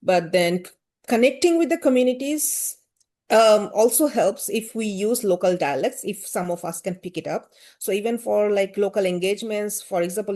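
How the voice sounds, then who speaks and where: A woman's voice: medium pitch, a little mellow. A woman with a Bangladeshi accent answering interview questions thoughtfully, with frequent fillers and hedges. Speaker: guest, gender-rights activist.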